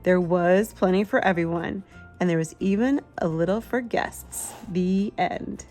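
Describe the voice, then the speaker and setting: A woman's voice: fairly low pitch, a little slow, a little mellow, soft background music. A woman reading a children's picture book aloud expressively, with simple asides to a young listener. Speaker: children's book reader, channel host.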